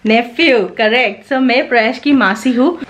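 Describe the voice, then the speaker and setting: High-voiced Indian woman vlogging over background noise. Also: normal speed, a bit bright. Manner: switches between Hindi and English. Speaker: pet vlogger. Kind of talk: casual vlog chat to camera. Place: indoors, home bedroom and cafe. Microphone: camera at arm's length.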